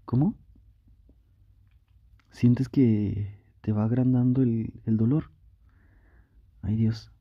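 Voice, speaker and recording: A man's voice: medium pitch, fairly even intonation, extremely slow, pauses often, no room sound, dull audio. A man playing a doting, anxious young boyfriend in an intimate ASMR roleplay, tender and reassuring, repeating his words insistently. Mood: concerned, gentle.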